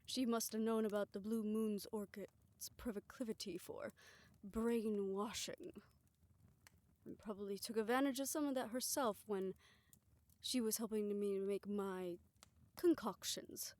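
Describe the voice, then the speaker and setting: A woman playing a remorseful scientist in an intimate audio drama, speaking softly and haltingly as if confessing. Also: medium pitch, fairly even intonation, natural voice. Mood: guilty, bitter. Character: remorseful female scientist haunted by her past experiments. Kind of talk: soft, intimate audio roleplay acting.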